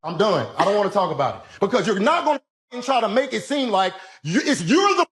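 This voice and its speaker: A man's voice: high voice, sing-song, fast, background noise. A man in a heated argument, agitated and indignant, confronting someone and repeating himself.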